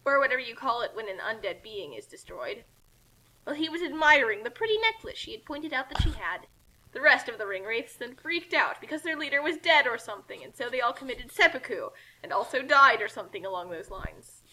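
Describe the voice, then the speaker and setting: A woman reading a comic fan-fiction story aloud in animated narration, with casual asides. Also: on the high side, brisk, low-quality recording.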